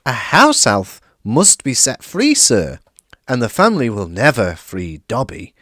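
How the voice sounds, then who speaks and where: A man voicing a small, servile and tearful male house elf who talks about himself by name in a high, excitable way. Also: a little slow, dry recording, clean studio sound, heavily acted. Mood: earnest.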